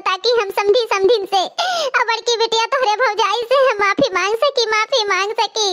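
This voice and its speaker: A woman voicing a pleading old village mother-in-law in a cartoon, with an Awadhi accent and a squeaky, pitched-up voice. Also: sharp tone. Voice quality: squeaky, childlike. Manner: wailing, repetitive pleading. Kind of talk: village cartoon comedy acting.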